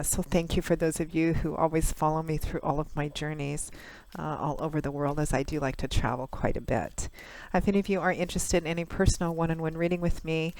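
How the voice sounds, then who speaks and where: A woman with a hoarse, slightly froggy voice walks through a tarot spread for her viewers. She speaks in an unhurried, chatty manner, pausing often. Her voice is recorded close and dry, with no room sound. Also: low-pitched, darkish tone, no room sound, poor audio. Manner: measured, conversational, many pauses and fillers. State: hoarse, recovering from a cold. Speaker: tarot reader, channel host. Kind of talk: monthly astrology tarot reading to camera.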